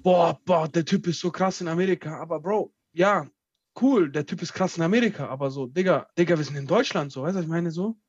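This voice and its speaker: A young man in casual chat, slangy and emphatic with quick agreeing interjections. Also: on the high side, a little mellow, husky, no room sound. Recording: dry, dull lo-fi mic.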